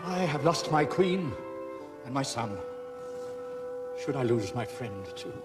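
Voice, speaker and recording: A man's voice: mid-range voice, expressive, slow. An actor playing an old, sorrowful king in mourning, speaking formally in an audio drama. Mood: grieving. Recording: loud music behind the voice.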